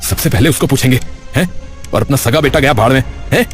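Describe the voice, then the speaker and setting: A man's voice: mid-range voice, lively intonation, quick pace, faint music behind, close to an everyday voice. A man playing a bitter, hot-tempered village father in an audio story, indignant and scolding. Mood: angry, resentful. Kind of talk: Hindi audio story drama.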